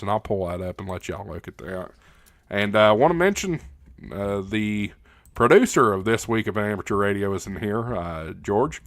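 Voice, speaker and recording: A man's voice: lowish voice, dry recording, studio quality. A man hosting a casual live Q&A, reading out viewer comments and answering in a folksy, plain-spoken way. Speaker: livestream host.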